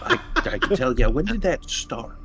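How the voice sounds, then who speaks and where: Male roleplay gamer, mid-range voice, conversational halting delivery, clear background noise. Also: mid-range voice, very animated. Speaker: tabletop roleplaying game player. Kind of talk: casual in-character game chat.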